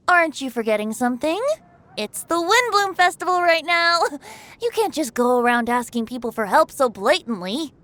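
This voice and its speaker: A woman's voice: high-pitched, very animated, moderate pace, bright, forceful tone, heavily acted. A woman voicing a playful, carefree boyish young male bard with a teasing, theatrical manner in an anime game. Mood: breezy. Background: background music.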